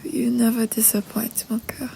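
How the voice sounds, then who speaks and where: A woman playing a vulnerable young French roommate in an intimate roleplay audio, speaking in a breathy, halting, tender way with a French accent. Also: fairly high pitch, flat delivery, warm tone, slight background noise. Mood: tender, relieved. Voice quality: breathy, whispery.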